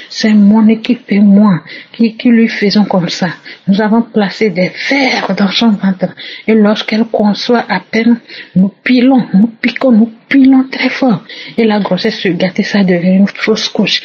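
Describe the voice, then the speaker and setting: A woman's voice: medium pitch, husky. A woman voicing the spirit of a malevolent great-grandmother who taunts and boasts, heard on a muffled recording. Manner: taunting, boastful, then defeated.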